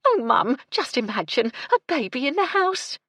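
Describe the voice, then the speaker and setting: A woman voicing an excitable, motherly female housekeeper who frets, scolds and exclaims in a folksy, deferential way. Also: mid-range voice, a little fast, husky, no room sound, clean studio sound, pitched above the performer's own voice, full character voice. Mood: delighted.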